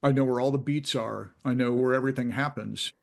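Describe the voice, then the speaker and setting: A man's voice: medium pitch, steady tone, fairly quick, gravelly. A man working as a sound effects designer, talking casually in an interview.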